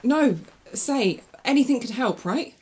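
A sensible young woman played by an actress speaks in a highish, husky voice with lively swings. She is brisk, matter-of-fact and exasperated, in audio drama style over faint music. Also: low-quality recording. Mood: wary, questioning.

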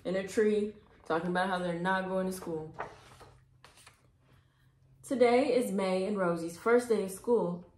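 A woman's voice: mid-range voice, a little slow, pauses often, a little mellow, a little hiss or hum. A young adult woman reading a children's storybook aloud with casual asides. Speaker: storybook reader, host. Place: small indoor room. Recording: echoey room.

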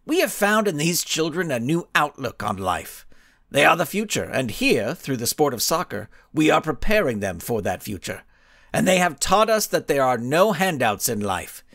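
A man's voice: mid-range voice, fairly bright tone, no echo, studio quality. A man doing a cocky, smirking supervillain skull. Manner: smug, showy speechmaking.

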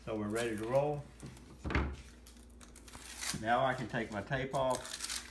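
A man's voice: medium pitch, fairly even intonation, takes it slowly. A middle-aged man giving casual, unscripted how-to narration in short bursts while he works with his hands. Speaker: hobbyist builder demonstrating his work. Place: indoor room at home, at a worktable. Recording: noisy home recording with clicks.

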